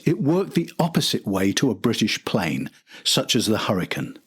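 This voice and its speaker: A man's voice: mid-range voice, slightly rough. An older man narrating a documentary from a script.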